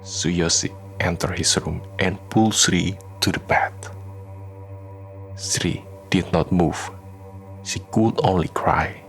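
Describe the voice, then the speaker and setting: A man narrating a scripted radio drama in a solemn, measured way. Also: low-pitched, creaky, a bit bright. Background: faint music.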